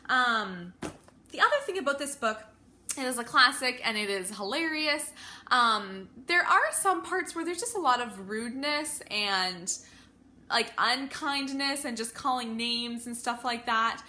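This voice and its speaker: A woman's voice: on the high side, animated, a little slow, pushed and bright. A woman in her thirties talking informally in a casual, chatty review vlog, working through her opinions conversationally with some hesitation. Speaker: homeschooling parent vlogger.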